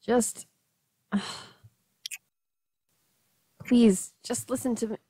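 Worried, higher-voiced woman played by an actress. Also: steady tone, slow pace, warm tone, slightly rough, dry recording. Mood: pleading. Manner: earnest, pleading. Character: worried, pleading adult woman, secret lover. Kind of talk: audio drama acting.

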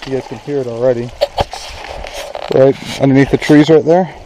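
A man's voice: mid-range voice, moderate pace, rough recording. A man in his thirties casually talking to viewers while hiking a rocky canyon creek bed, filming himself with a handheld action camera. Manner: relaxed, chatty, addresses viewers directly. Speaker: outdoor vlogger. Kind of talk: casual walking commentary to camera. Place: outdoors in a rocky mountain creek bed by flowing springs. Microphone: action camera held at arm's length. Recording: outdoor camera audio with clear stream and wind noise. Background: running water and wind.

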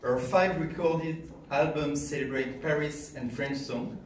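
A man's voice: on the high side, fairly even intonation, measured pace, a little hiss or hum. A man formally presenting an award at a podium in an ornate reception room, recorded from far off. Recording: echoey room, far from camera.

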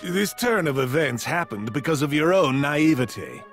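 A man's voice: a little high, animated, moderate pace, faint music behind, lightly acted. A man voicing a haughty, sardonic cat-like god of destruction in a video game, imperious and condescending. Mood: stern, condescending.

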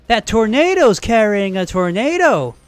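A man streaming live and joking through a game, his voice cracking and going hoarse, on a headset mic. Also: sits high, big pitch swings. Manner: joking, sarcastic, quick asides, giggly. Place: home streaming room, indoors. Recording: game music in background.

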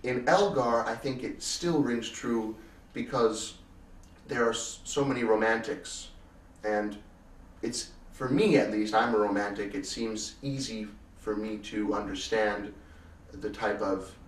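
Young man, lowish voice, roomy interview sound. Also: steady tone, unhurried. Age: 20s. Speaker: cello soloist, interviewee. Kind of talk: thoughtful interview conversation. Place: furnished indoor room, seated on sofa. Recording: roomy echo, distant mic, faint noise.

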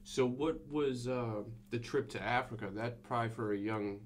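A young American man hosts a podcast in a casual style. His pitch is average and he speaks into a studio mic in a small room, with a faint noise behind him. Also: normal speed. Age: young adult. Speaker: podcast host. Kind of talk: casual interviewing. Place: small indoor room with acoustic panels. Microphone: podcast boom microphone.

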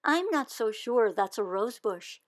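A woman reading aloud voices a kindly neighbor woman who speaks gently and with doubt. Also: a little high, very animated, a little slow. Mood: doubtful. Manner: gentle, skeptical.

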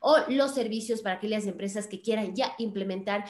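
A woman's voice: mid-range voice, brisk. A woman in her thirties hosting a podcast, chatty and effusive as she addresses her listeners in an upbeat presenting style. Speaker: podcast host. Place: small indoor room, on a video call. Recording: roomy, echoing room sound.

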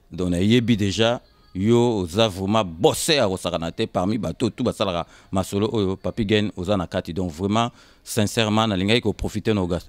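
Halting, low-voiced Congolese man in his fifties. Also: dry recording. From Kinshasa, Congo. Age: 50s. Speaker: interview guest. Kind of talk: casual interview storytelling, switching between Lingala and French. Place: indoors on a sofa. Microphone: handheld microphone held by interviewer.

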